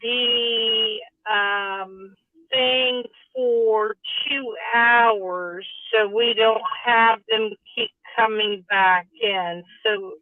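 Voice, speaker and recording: A woman livestreamer with a fairly high voice talks extremely slowly, rambling through casual chat. The sound is thin and phone-like, like a replayed stream. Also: fairly even intonation, no room sound. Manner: rambling, drawn-out delivery.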